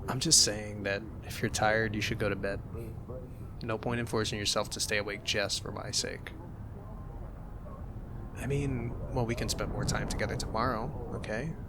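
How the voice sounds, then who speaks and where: A young man in a soft-spoken ASMR roleplay, teasing and gentle as a cuddly roommate. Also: on the low side, steady tone, moderate pace, pauses often, noisy background.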